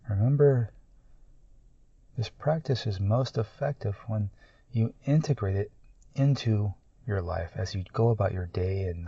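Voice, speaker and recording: A man guides a meditation in a mellow, relaxed voice. He speaks very slowly and calmly, in short measured phrases with long pauses. The recording is dry and somewhat muffled. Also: sits low, dry recording. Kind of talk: guided meditation, slow and soothing.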